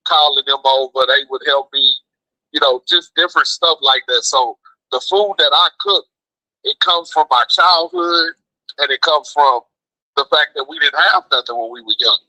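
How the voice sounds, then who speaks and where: A man's voice: medium pitch, normal speed, sharp tone, dry recording, rough recording. An American man phoning into a show, chatting casually and telling stories in slangy, emphatic, repetitive phrases. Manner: slangy, emphatic, repeats himself. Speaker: phone-in guest, food truck cook. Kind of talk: casual chat, storytelling. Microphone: phone call.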